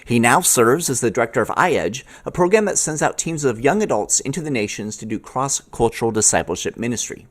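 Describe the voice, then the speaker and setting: A male podcast host with a lively, widely swinging pitch in the middle of the male range. He moves between scripted introduction and interview prompts, with plenty of rise and fall in his delivery. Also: fairly quick, hardly any pauses.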